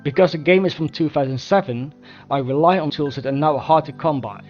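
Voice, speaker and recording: A man narrates a formal scripted progress report at a fast clip. His voice is slightly high, and the recording is dull, with faint music underneath. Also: a little mellow. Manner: formal, measured wording. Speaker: mod developer.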